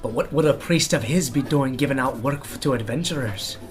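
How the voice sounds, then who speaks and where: A man's voice: mid-range voice, brisk, natural voice. A man playing a blunt, sardonic dwarf adventurer in a fantasy audio drama, given to needling remarks and archaic turns of phrase. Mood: earnest. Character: gruff male dwarf adventurer, sardonic and plainspoken.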